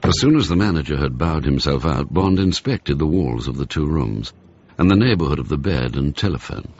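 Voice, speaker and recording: An actor playing the measured narrator of a radio spy thriller, with a husky voice. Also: male voice, a bass voice, expressive, darkish tone, lo-fi sound, full character voice. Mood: measured, matter-of-fact. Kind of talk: scripted narration in radio drama.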